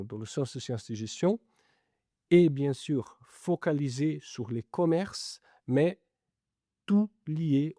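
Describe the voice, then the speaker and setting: Softly spoken man with an Italian-Brazilian accent. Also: mid-range voice, no room sound, studio quality. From Italy and Brazil. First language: not French. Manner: hesitant, many fillers. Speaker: business school lecturer-researcher. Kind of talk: interview answers.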